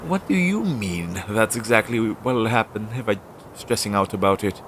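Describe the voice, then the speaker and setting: Noisy low-fidelity recording, man acting as anxious magical familiar, low-pitched, halting, fretful, devoted, audio drama. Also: expressive, a bit bright. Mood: worried. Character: anxious, self-doubting magical familiar devoted to his master. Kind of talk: scripted audio roleplay, one-sided dialogue. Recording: low-fidelity audio with clear background noise.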